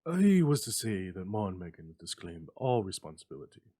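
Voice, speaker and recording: A man voicing a solemn, uneasy company messenger with a halting, formal manner, in a dramatic story reading. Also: low voice, big pitch swings, mellow. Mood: formal, reluctant.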